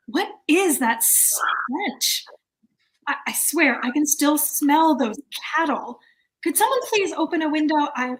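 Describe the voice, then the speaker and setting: Woman playing a prim, high-voiced committee chairwoman. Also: slight background noise, poor audio. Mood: flustered, exasperated. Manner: formal, fussy, halting. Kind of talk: amateur staged play reading.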